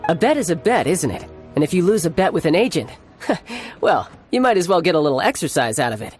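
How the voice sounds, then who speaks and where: A breezy young gentleman from a video game, self-assured and politely teasing. His voice is very expressive, with music behind it. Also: fairly high pitch, brisk. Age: young adult. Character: breezy, smooth-talking young gentleman, doting older brother. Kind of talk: scripted video game character acting.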